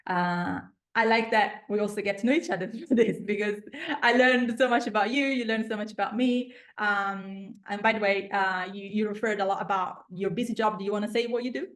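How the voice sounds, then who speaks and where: A woman's voice: medium pitch, steady tone, a little fast, fairly bright tone. A woman with an Italian accent, speaking as a language coach in an encouraging, conversational interview style. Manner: encouraging, affirming.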